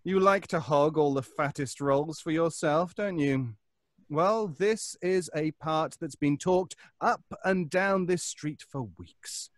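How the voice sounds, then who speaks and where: A man's voice: fairly high pitch, takes it slowly, no echo, studio quality. A man playing a vengeful, mad failed actor in old-time radio suspense style, taunting his trapped rival with theatrical mock courtesy. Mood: gloating, menacing.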